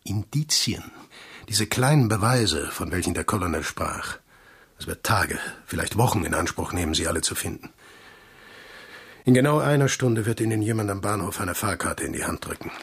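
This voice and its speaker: A low, gravelly voice for a commanding male spy chief in a radio drama. He is gruff and weary, issuing terse, deliberate words with a heavily husky grain. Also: animated, fairly bright tone, exaggerated voice. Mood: grimly matter-of-fact. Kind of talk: German radio drama acting.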